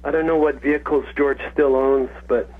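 A man's voice: medium pitch, fairly even intonation, moderate pace. A man of sixty calling in to a radio show, chatting in a rambling way and laughing often. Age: 60s. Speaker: caller. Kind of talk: casual call-in chat. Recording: muffled phone line.

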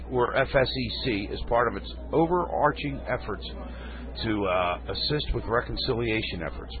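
A man hosting a radio talk show, reading news stories and adding folksy, rambling comments while losing his voice. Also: fairly low pitch. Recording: phone-like lo-fi radio.

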